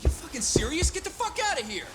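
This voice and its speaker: A woman's voice: sits high, big pitch swings, fast. A woman playing a furious, foul-mouthed gambling-den patron in a video game. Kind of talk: video game cutscene acting. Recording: loud music behind the voice.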